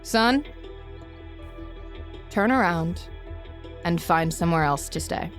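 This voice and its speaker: A woman's voice: fairly low pitch, animated, takes it slowly, soft background music. A woman voicing a fatherly town elder and priest in a tabletop game, plainly and paternally. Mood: stern, fatherly.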